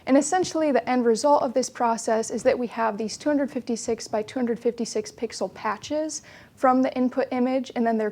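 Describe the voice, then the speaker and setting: High-pitched young woman presenting, creaky edges. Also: a little mellow. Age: young adult. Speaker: student research presenter. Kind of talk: explanatory research presentation.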